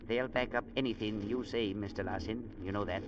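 Man playing a gruff old-time radio sea captain. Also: on the low side, fairly even intonation, lightly acted. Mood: firm, deliberate. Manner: blunt, salty, folksy speech with deliberate emphasis. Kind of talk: 1940s-50s radio drama acting. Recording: noisy, muffled old radio broadcast.

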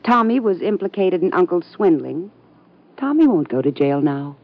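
Dry, sardonic niece, very low voice, old radio play. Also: female voice, very animated, normal speed. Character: sardonic, cool young woman, a niece. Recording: muffled old radio recording.

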